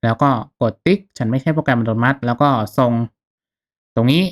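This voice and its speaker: A man narrating a casual step-by-step software tutorial in an informal, instructional manner. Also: mid-range voice, brisk. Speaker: tutorial host.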